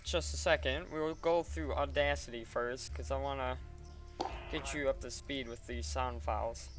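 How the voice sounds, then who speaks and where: A man casually talking through a software tutorial step by step in an informal way. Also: medium pitch, fairly even intonation, normal speed, music in the background. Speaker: tutorial presenter. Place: voice-over at a computer.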